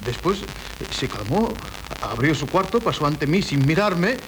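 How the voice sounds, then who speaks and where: An actor playing a flustered, anxious husband in a 1970s radio comedy, stammering and pleading. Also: male voice, a little high, big pitch swings, a little slow. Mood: worried, recounting. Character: flustered, anxious husband disowned by his wife. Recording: noisy old 1970s radio recording.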